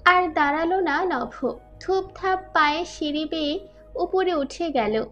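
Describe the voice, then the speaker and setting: A woman's voice: very high-pitched, a little slow, a bit bright, highs cut off. A woman narrator reading a scripted dramatic story. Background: faint background music.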